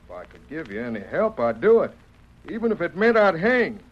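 An earnest, indignant cowboy prisoner in a vintage radio drama. The voice sits fairly high, with plain rustic phrasing, on a muffled old recording. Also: male voice, sing-song, brisk. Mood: earnest, resolute. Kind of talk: old-time radio Western acting.

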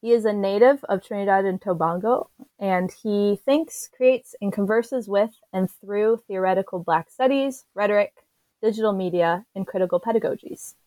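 A woman's voice: medium pitch, moderate pace, dark tone, no room sound, rough recording. A woman hosting an interview, reading a careful formal introduction of her guest with occasional fillers. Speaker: interview host.

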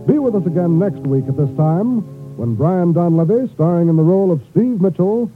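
A man's voice: high voice, expressive, moderate pace. An American man announcing in scripted 1950s network radio style. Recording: muffled vintage radio, music behind.

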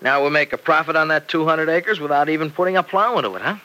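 An actor playing an eager, earnest young farmer son in a vintage radio soap opera. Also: male voice, on the high side, quick pace, bright, forceful tone, low-quality recording. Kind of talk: 1940s-50s radio soap opera acting. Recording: vintage radio broadcast recording.

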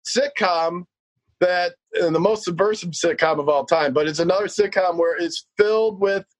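A man's voice: high voice, normal speed. A man chatting casually in a group podcast, rambling and self-deprecating. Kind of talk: casual comedic chat.

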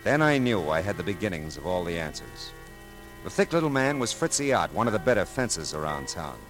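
A world-weary male private eye from a classic radio detective drama. His voice is low, with lively swings in pitch under a dry, deadpan wit. Music sits behind the vintage recording. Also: brisk, poor audio. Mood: brooding narration.

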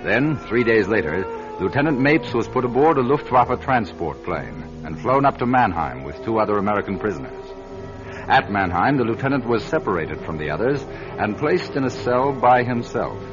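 An earnest, driven newsman who tells his story in a low voice that rises and falls with urgency. The sound is a muffled old radio broadcast, with music running underneath. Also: very animated, normal speed. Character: driven male network journalist narrating his find. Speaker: narrator. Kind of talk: 1970s radio drama narration.

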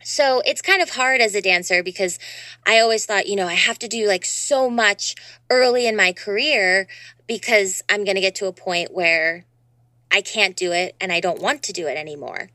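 A woman's voice: medium pitch, normal speed, sharp tone, no room sound. A young woman in her twenties with a youthful voice, chatting freely as an interview guest. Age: 20s. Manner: youthful, upbeat, talks freely with many "you know"s. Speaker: guest, dancer and voice actor. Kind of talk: casual interview chat.

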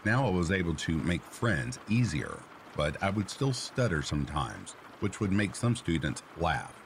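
A man with a very low, deep voice narrates a first-person story at a steady, even pace. Faint rain hisses softly behind him. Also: normal speed, creaky. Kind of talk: scripted narration of a reader-submitted story. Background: faint rain sounds.

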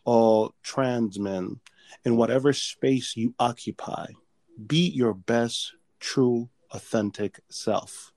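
A man's voice: mid-range voice, takes it slowly, darkish tone, no room sound. A man in casual chat, speaking earnestly and emphatically as he urges listeners on.

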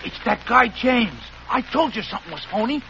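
A man's voice: extremely high pitch, lively intonation, fairly quick, pushed and bright, full character voice. An actor playing a suspicious, wisecracking American gangster henchman with slangy talk in a 1940s radio comedy. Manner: slangy, wheedling, cocky. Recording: muffled, hissy old 1940s radio broadcast.

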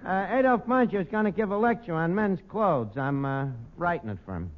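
An actor playing a brash, dim tavern manager with a New York accent, pompous and slangy, in 1940s radio comedy style. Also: male voice, high-pitched, animated, a little fast. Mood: self-satisfied. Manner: pompous malapropisms, streetwise slangy talk. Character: brash, dim, wisecracking male tavern manager mangling big words. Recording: muffled old radio recording, clear noise.

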